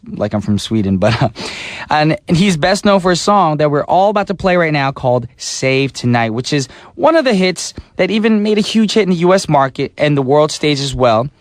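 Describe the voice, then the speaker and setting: A man hosting a radio show in a studio, chatting and telling animated stories. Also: fairly high pitch, dry recording. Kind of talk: casual radio DJ chat. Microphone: studio mic.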